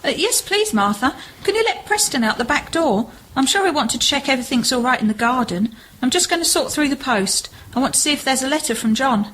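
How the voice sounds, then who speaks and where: A woman plays a homely, cautious sister in an amateur radio drama. Her high voice moves with animated swings as she asks sensible questions. Light noise sits behind her. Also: fairly quick, few pauses. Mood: homely, fretful. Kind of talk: amateur radio play acting.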